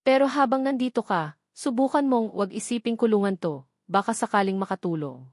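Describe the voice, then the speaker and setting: Woman acting calm, reserved island man, dry sound. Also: on the high side, fairly quick, no echo. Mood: gently wry.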